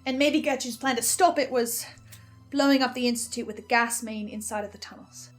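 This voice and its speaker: A woman acts an anguished, self-doubting female broadcaster in a dramatic monologue. The voice sits fairly high and moves haltingly, with intense self-corrections. Music plays underneath. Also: natural voice. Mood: brooding, speculative. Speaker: broadcaster reacting to a fiction podcast.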